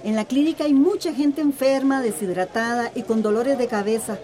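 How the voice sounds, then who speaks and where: Woman acting worried gossipy neighbour, high mellow voice. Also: moderate pace, some chatter behind, close to an everyday voice. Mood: anxious. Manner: chatty, lamenting. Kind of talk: radio drama acting.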